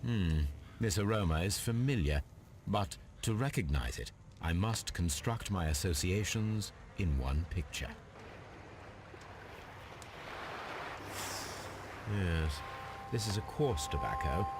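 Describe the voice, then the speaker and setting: An actor playing a calm, analytical gentleman detective in a video game, musing aloud in measured, precise deductions. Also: low-pitched, background noise, heavily acted. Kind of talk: video game character voice acting, deliberate deductive monologue.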